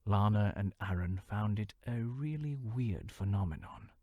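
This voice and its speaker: Low, husky-voiced male audiobook narrator. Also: animated, dry recording, dull audio. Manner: measured storytelling, dropping to a hushed near-whisper for effect. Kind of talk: scripted fantasy narration.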